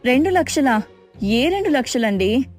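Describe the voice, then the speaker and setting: Woman acting a high-voiced young daughter-in-law. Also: big pitch swings, a little slow, darkish tone, faint music behind. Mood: puzzled. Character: sensible young Indian daughter-in-law, polite but exasperated. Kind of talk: acted moral story voice-over.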